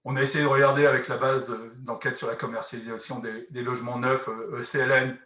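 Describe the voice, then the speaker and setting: A man giving a semi-improvised explanatory presentation at a conference, halting and often rephrasing, with frequent little tag words. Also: mid-range voice, flat delivery, sharp tone. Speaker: conference speaker presenting a housing policy experiment. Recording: echoey room sound.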